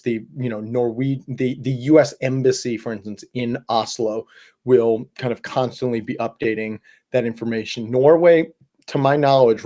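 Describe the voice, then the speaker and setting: A man hosting a casual livestream Q&A, a bit hoarse, talking in a chatty, rambling way with many fillers. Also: medium pitch, warm tone. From Southwest Ohio. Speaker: host.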